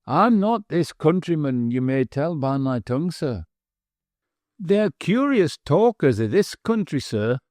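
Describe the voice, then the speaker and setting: A man's voice: a little high, big pitch swings, a little slow, darkish tone, no echo, studio quality, pitched above the performer's own voice. A man voicing a pompous former butler turned innkeeper in a treble, wheezy, slightly mincing voice, deferentially calling everyone sir. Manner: deferential, says sir constantly.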